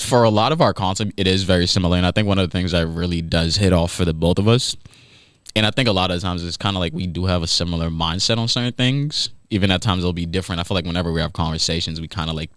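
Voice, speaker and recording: Lively fast-talking young man. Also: low voice, no echo, clean studio sound. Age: 20s. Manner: animated, quick and joking, drops to mumbles. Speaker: podcast host. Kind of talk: casual chat.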